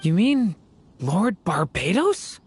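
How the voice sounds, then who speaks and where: An actor voicing a polite, earnest young dragon boy in a video game, with a casual, gentle manner. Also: mid-range voice, big pitch swings, slow pace, a little hiss or hum. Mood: thoughtful, assured. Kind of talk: video game character dialogue.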